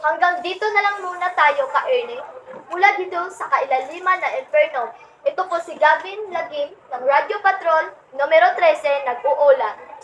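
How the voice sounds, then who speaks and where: A woman's voice: sits high, normal speed, lightly acted. A woman playing a dutiful, polite field reporter in a parody radio news play. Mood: polite, reporting. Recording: echoey room, distant mic, faint noise.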